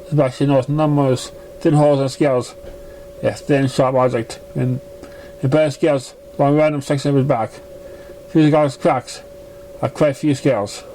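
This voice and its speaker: A man's voice: mid-range voice, flat delivery, moderate pace, warm tone, slight background noise, low-quality recording. A man narrating a story aloud, stumbling over and misreading words as he goes. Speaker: fan fiction reader.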